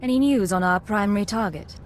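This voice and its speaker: A woman playing a composed, professional Imperial agent in a video game, speaking in a measured, guarded, formal way. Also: medium pitch, slight background noise, close to an everyday voice.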